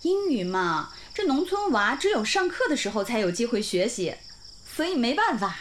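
A woman's voice: fairly high pitch, big pitch swings, normal speed, a bit bright, slight background noise. An actress playing a friendly, caring woman who teaches at a village school, in a radio drama. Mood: explaining warmly. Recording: music under the dialogue.

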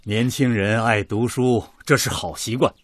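A man's voice: lowish voice, moderate pace, no echo, lightly acted. An actor playing a principled, doting middle-aged father and senior official in a Chinese radio drama, authoritative and avuncular.

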